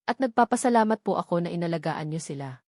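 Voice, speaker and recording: A woman's voice: fairly low pitch, expressive, quick pace, dark tone, no room sound. Woman narrator as young man, remorseful, earnest, pleading, polite, romance drama, dry sound. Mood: remorseful, determined.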